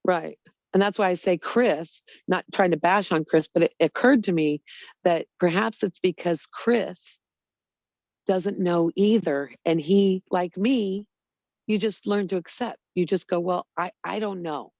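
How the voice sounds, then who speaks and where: A woman's voice: lowish voice, measured pace, darkish tone, no echo, muffled recording. A woman talking earnestly in a casual group chat, rambling with lots of fillers. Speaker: Bible study group member.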